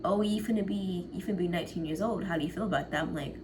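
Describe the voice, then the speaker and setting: A low-pitched young woman in her late teens rambles casually to her viewers. She laughs easily and lets her sentences trail off. There is clear background noise under her voice. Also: racing. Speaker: college vlogger. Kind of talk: casual chat to camera.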